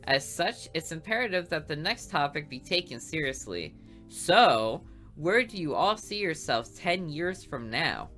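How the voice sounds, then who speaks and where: A woman voicing a strict, tired high school teacher in a visual novel. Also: big pitch swings, measured pace, a bit bright, faint music behind, full character voice. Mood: stern. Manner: strict and commanding. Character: stern, weary female high school teacher.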